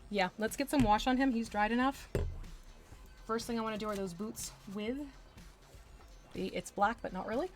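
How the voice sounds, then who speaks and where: A woman's voice: a little high, normal speed, long pauses, soft background music. A woman from Texas chatting casually on a livestream as she paints miniatures, rambling with asides and little laughs. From Texas, USA. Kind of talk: casual chat while working.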